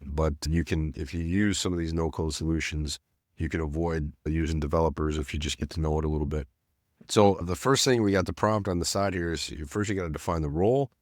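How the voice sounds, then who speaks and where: A middle-aged man with a slightly nasal voice from a cold, explaining a software setup in a loose, rambling way full of "you know" and restarts. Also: a bass voice, a little fast, no room sound, clean studio sound. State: getting over a cold. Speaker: self-storage business owner teaching automation. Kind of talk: screen-share tutorial narration.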